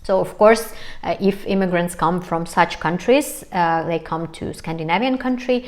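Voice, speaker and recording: Hesitant woman vlogger, lowish voice. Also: normal speed. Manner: hesitant, many fillers, light laughs. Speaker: vlogger, host of own channel. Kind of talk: casual talk to camera.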